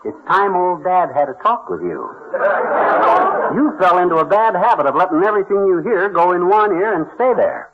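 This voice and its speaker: Blustery radio-comedy husband: high-pitched, slangy, wisecracking, on a very noisy old broadcast. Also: male voice, moderate pace, highs cut off. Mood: blustery lecturing. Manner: slangy, long-winded mock lecturing. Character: blustery, wisecracking, folksy middle-aged husband. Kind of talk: 1940s-50s radio comedy acting.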